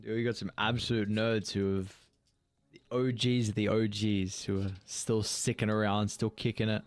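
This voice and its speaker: A man's voice: on the low side, level tone, moderate pace, smooth, dry recording. A man in his mid-twenties casting an esports match, playful and slangy, laughing easily as he talks. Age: 20s. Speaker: esports caster. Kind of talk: casual esports commentary.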